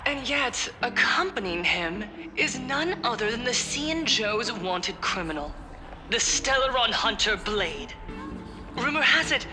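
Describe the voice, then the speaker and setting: An actress playing a composed female politician in a video game, addressing the public in a formal, measured, oratorical style. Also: fairly high pitch. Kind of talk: formal televised speech, video game acting.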